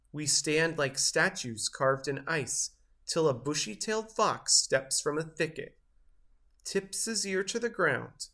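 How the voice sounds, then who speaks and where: A man reading a children's picture book aloud, with expressive delivery and measured, careful phrasing. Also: a little high. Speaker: storybook reader.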